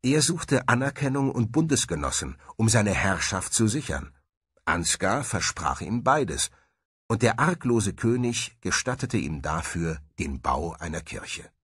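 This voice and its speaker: A man's voice: lowish voice, very animated, a little slow, a bit bright, slightly rough, dry recording, studio quality. A man delivering scripted narration for a historical documentary.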